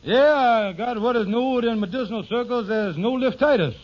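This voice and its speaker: An actor playing a lazy, scheming, self-important husband in an old-time radio sitcom, with folksy, ungrammatical, pompous speech. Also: male voice, extremely high pitch, normal speed. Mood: pompous, sly. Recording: dull, noisy vintage radio recording.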